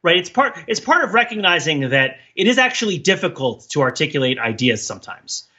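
Bright-voiced man thinking aloud, slightly dull audio. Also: fairly high pitch, sing-song, a little fast, breathy edge. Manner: discursive, thinking aloud, often tags points with "right?". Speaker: podcast co-host. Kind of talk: casual discursive podcast chat.

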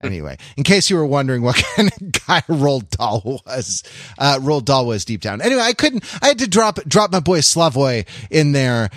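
A man with a mid-range voice talks as a podcast co-host in a close, dry recording. His delivery is halting and academic, building an analysis piece by piece in casual conversation and checking in as he goes. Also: medium pitch, very animated, a bit bright, dry recording. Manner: halting, academic phrasing with frequent check-in tags. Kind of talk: casual analytical pop-culture discussion.

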